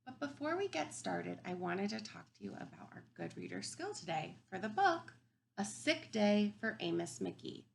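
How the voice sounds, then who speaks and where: Expressive woman teacher reading aloud to children. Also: medium pitch, normal speed, vocal fry, slight background noise. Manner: simple wording, thinking aloud and posing questions to children; expressive, emphatic storybook delivery. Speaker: kindergarten teacher. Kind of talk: read-aloud lesson for young children.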